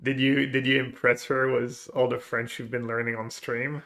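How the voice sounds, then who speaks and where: A man with a bright, forward voice streams a card game live. He talks casually to his viewers and works through his plays out loud in a mid-range pitch. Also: little pitch movement, moderate pace, gravelly. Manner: thinking aloud, planning plays. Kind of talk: live gameplay commentary, reading chat.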